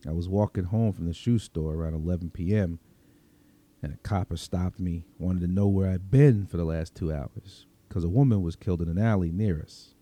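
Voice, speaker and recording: A man's voice: sits low, moderate pace, warm tone. A man reading a first-person story as a world-weary, streetwise vigilante vampire, in a conversational, brooding Brooklyn accent. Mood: reminiscing. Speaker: story reader.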